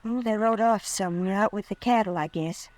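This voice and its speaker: A woman's voice: medium pitch, brisk, a little mellow, dry recording, close to an everyday voice. Lady playing a young ranch widow, american, polite but guarded, dry close sound, old radio western. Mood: subdued, guarded. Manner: polite, restrained.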